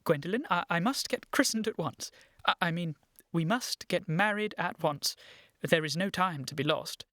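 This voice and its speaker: A nervous, lovestruck gentleman in his late twenties in a radio comedy. He speaks, earnest, hesitant and stammering with fluster. The recording is dry and close. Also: on the high side, normal speed, no echo. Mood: flustered, eager. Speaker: actor in a radio play. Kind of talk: theatrical comedy-of-manners radio acting.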